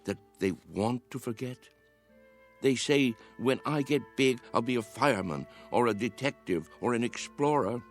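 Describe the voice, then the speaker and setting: A man's voice: medium pitch, expressive, moderate pace, faint music behind, lightly acted. An actor playing an earnest, dreamy old man who muses fervently about youth, in a radio drama. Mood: searching, musing. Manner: earnest, musing, insistent.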